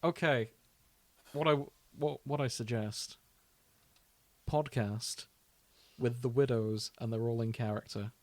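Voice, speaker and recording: A man's voice: medium pitch, fairly even intonation, a little slow, long pauses, dry recording. A British man co-hosting a podcast in casual, jokey banter. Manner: jokey, prone to laughing. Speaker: podcast co-host.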